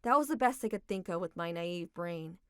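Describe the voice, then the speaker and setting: A young adult woman narrating a manga dub story in a steady, reflective reading. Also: on the low side, brisk, very noisy.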